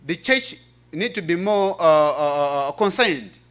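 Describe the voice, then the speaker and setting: High-pitched, bright-voiced African man presenting. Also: expressive, measured pace, clear, resonant tone. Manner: earnest, emphatic, repeats key phrases. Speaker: presenter reading a paper at a church health forum. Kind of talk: preaching-style conference presentation.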